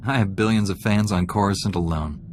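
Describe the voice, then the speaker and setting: A heroic space knight, voiced by a male actor, low and measured. He is composed and wry, in video game dialogue with a faint noise behind him. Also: a little mellow, close to an everyday voice. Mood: dry, wry. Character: composed, dryly witty heroic male Jedi warrior.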